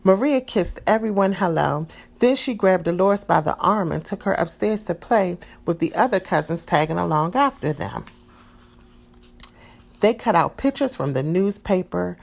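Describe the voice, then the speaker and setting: Woman storyteller, low gravelly voice, calm expressive read-aloud for kids. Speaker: storybook reader.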